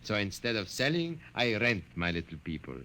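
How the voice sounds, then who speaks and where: An actor playing a boastful, mysterious master dollmaker in a 1950s radio drama, speaking in grandiose, formal phrases. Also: male voice, on the low side, very animated, moderate pace, sharp tone, exaggerated voice. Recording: old 1950 radio recording, faint music.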